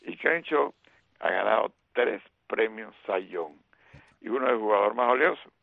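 A deep, heavily gravelly male voice talks baseball on the radio with animated energy, dotting his talk with "eh" and "¿ok?". He sounds husky and close, with no room around him. The sound is narrow and tinny, like a phone line. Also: measured pace, no echo. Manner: animated, fills with "eh" and "¿ok?". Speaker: radio sports commentator.

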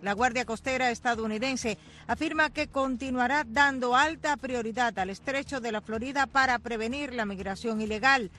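A radio news anchor reading the headlines and sign-off in a formal, even tone. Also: mid-range voice, normal speed. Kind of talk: radio newscast.

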